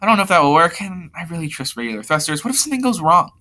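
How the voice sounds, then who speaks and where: Reader as a cautious, earnest schoolboy, lively pitch. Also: rapid-fire. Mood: worried. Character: cautious, polite, earnest schoolboy, gently anxious peacemaker.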